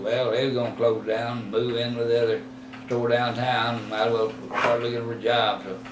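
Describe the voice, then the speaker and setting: A man in his eighties, slowly recalling his past in an interview, rambling and halting with many fillers and self-corrections. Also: mid-range voice. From Texas. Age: 80s. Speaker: oral history interviewee. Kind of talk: reminiscing in a recorded interview. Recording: roomy, distant mic with clear background noise.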